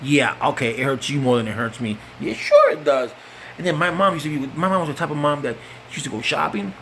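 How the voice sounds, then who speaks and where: Rambling, profane New York comic. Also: male voice, fairly high pitch, fast talker, a little hiss or hum. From the Bronx, New York. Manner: loose, rambling, profane street talk with animated acted-out quotes. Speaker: stand-up comedian. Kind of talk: stand-up storytelling.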